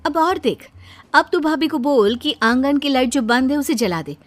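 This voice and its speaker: A woman's voice: sits high, expressive, moderate pace. A woman voicing a teasing, outspoken young adult daughter in a cartoon. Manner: teasing, mocking.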